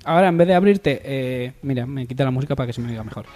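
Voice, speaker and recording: A man's voice: mid-range voice, racing, darkish tone. A man with an accent from Spain, co-hosting a comedy radio show in casual chat. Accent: Spanish, from Spain. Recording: music bed behind voice. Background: background music.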